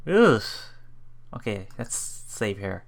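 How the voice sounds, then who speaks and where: A let's play streamer reading game narration aloud haltingly and adding casual commentary. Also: mid-range voice, sing-song, creaky.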